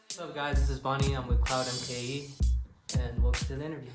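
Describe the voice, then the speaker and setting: Laid-back young man, flat voice, roomy. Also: medium pitch, fast talker, loud music behind the voice. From Mexico. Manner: laid-back, rambling with many fillers. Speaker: interviewed musician. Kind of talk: casual interview answers.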